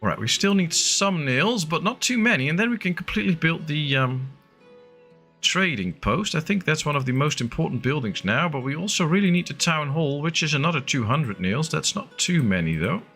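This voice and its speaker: Lively, bright-voiced man chatting on stream. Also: fairly high pitch, moderate pace, breathy edge, faint music behind. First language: not English. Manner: chatty, answering chat with frequent fillers. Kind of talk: casual live-stream chat while playing.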